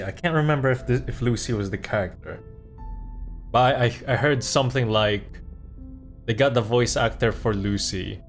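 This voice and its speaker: Young male streamer, lowish voice, chatty and loose. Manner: chatty, laughs often. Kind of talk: casual gameplay commentary.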